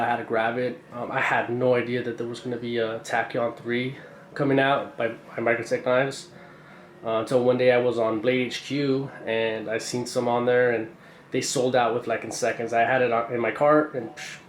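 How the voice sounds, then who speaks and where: Congested man rambling, flat tone. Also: medium pitch. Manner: rambling, many fillers, slangy and excited. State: congested from a cold. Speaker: knife collector reviewing a purchase. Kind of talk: casual unboxing ramble. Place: indoor room, camera over a tabletop. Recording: roomy echo, faint background hiss.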